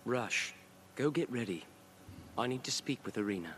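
An actor playing a courteous young nobleman in a fantasy video game, formal and measured in his delivery. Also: mid-range voice, animated, a bit bright, a little hiss or hum, lightly acted. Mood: composed. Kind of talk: video game cutscene acting.

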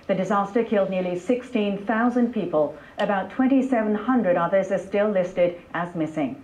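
A woman's voice: medium pitch, normal speed, darkish tone. A woman presenting scripted television news as an anchor, heard played back over speakers in a room. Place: broadcast replayed over speakers in a lecture room. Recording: dull, echoey playback in a hall.

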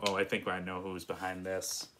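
A man in his twenties with an American accent reads a picture book to young children in a playful, expressive way, asking the listener questions. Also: low-pitched, normal speed, slight background noise. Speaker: children's story reader. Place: indoors, small room in front of a patterned cloth.

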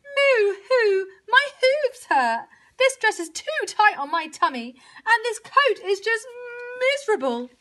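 Storybook cow: high, bright, whiny and envious, bawling with mooing drama. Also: female voice, huge pitch swings, exaggerated voice. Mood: wailing, whiny. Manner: bawling, mooing, drawn-out wails. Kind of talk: children's storybook character voice.

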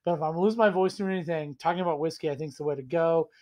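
Hoarse man with a cold reviewing whiskey. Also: fairly high pitch, rapid-fire, a little mellow. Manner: rambling, self-deprecating, enthusiastic. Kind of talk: casual tasting vlog. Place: small room indoors at home.

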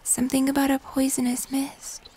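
A young woman playing a gentle, mysterious moth-human hybrid in fantasy ASMR, speaking in soft, breathy whispers. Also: high voice, completely flat delivery, slight background noise, lightly acted. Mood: mysterious, uneasy. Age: young adult. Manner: slow, hushed, mysterious, gentle. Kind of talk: cinematic fantasy ASMR roleplay.

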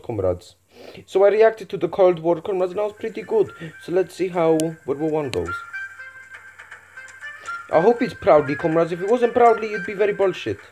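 A man's voice: high-pitched, mellow. A man voicing a crude, boastful Soviet commander persona who reacts to a video with profane, mocking commentary and calls everyone comrade.

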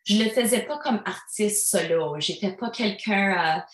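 A woman's voice: medium pitch, measured pace. Chatty Franco-Albertan woman, roomy sound. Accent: Franco-Albertan French. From Alberta, Canada. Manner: chatty, with many fillers and restarts. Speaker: singer-songwriter guest. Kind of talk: casual interview talk.

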